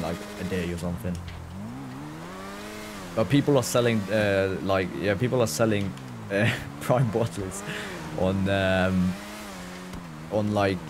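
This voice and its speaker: A man's voice: low voice, background noise. A man from Norway with a Norwegian accent, chatting casually and swearing freely as he streams a video game. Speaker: live streamer. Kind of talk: casual gaming livestream commentary, chatting with viewers. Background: game ambience with vehicle sounds.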